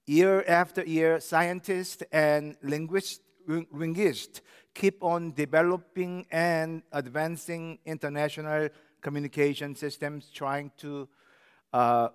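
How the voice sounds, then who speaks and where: A man in his sixties with a Korean accent preaches from a church pulpit. He speaks slowly and earnestly in a smooth, resonant voice, and his words carry a long hall reverb. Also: a little high, steady tone. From South Korea. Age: 60s. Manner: slow, careful, earnest delivery. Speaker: pastor. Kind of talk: sermon. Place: church sanctuary.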